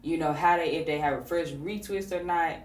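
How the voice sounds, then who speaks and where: A woman hosting a podcast, talking in a relaxed, conversational way with plenty of fillers. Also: deep voice, brisk, reverberant, distant mic. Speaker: podcast host. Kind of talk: casual conversation.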